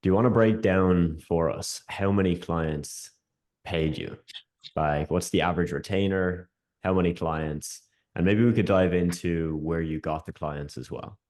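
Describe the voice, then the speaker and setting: A man's voice: low voice, darkish tone. A man hosting a casual interview over a video call, upbeat and friendly, often calling his guest "man". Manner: upbeat, quick, says "man" a lot. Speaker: host and coach.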